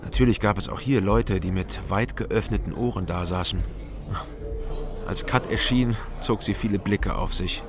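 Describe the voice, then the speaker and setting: Low-voiced, terse East Berlin investigator played by a man. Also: normal speed, lightly acted. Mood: sober, brooding. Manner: terse, sober, questioning. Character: East Berlin male investigator narrating his case, wary, probing. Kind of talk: radio crime drama narration and dialogue. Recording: studio radio drama with music behind.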